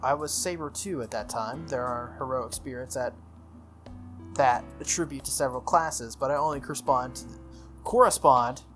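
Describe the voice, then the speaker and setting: A man plays a young swordswoman servant from a visual novel. She speaks formally and solemnly, firm about her duty, at a medium pitch with music playing underneath. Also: normal speed, lightly acted. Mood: matter-of-fact. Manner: formal, solemn, matter-of-fact. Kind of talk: visual novel character read-aloud.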